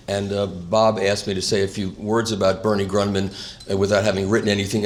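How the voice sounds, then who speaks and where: A man's voice: lowish voice, slight background noise. A man hosting an award gala, speaking off the cuff in a rambling, anecdotal way into a handheld microphone. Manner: rambling, many restarts, chuckling. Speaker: event host and presenter. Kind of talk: unscripted anecdotal speech.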